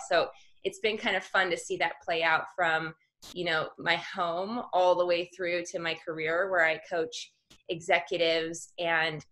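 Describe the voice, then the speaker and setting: Lowish-voiced woman, casual panelist. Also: fairly bright tone. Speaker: panelist, media coach. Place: bright room at home. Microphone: video call.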